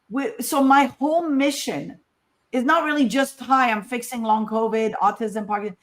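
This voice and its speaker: Woman, from Morocco, emphatic conversational scientist, informal conference talk, rhetorical questions, medium pitch.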